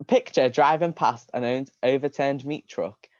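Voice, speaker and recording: A man with a mid-pitched voice reads a comic story aloud to a live stream. He sounds amused, tends to laugh through his lines and often stumbles and restarts. The sound is dry and slightly dull. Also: moderate pace, a little mellow, no echo. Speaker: reader of comic fan fiction.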